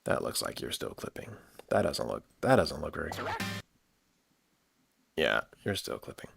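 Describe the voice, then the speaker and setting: A man chatting casually on a livestream while he works, thinking aloud in a halting, rambling way with repeated phrases. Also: a bass voice, sing-song, vocal fry, noisy background. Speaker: livestream host and audio drama producer.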